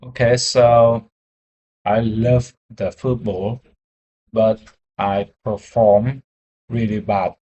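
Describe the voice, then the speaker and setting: A man's voice: lowish voice, steady tone, extremely slow, pauses often, mellow, lo-fi sound. A man with a Vietnamese accent practicing spoken English with a tutor, hesitant and searching for his words. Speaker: English learner. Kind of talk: conversation practice.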